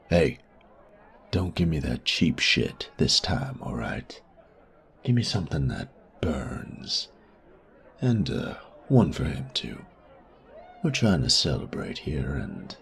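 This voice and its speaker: A man playing a menacing, sadistic bandit in an audio roleplay, crude and mocking, with a gravelly, taunting drawl. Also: very deep voice, heavily acted. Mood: brash. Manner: taunting, drawling, drops to breathy murmurs. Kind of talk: audio roleplay drama acting.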